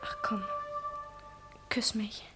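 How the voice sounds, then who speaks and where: An actress playing a tender, rapturous young woman in love in a radio play, with a breathy voice that often sinks to a whisper. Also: drawn-out words, loud music behind the voice. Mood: coquettish, tender. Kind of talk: German radio play acting.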